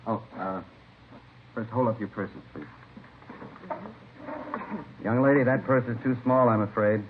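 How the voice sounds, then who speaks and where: Police captain male actor, low, authoritative, methodical, old-time radio drama, narrow muffled sound with light hiss. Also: a little slow, close to an everyday voice. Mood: businesslike. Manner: methodical, orderly, instructing. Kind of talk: 1950s radio crime drama acting.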